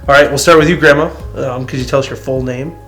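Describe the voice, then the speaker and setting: Hesitant grandson interviewing family. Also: male voice, mid-range voice, fairly even intonation, fast talker, fairly bright tone, faint music behind. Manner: hesitant, many fillers, short prompts.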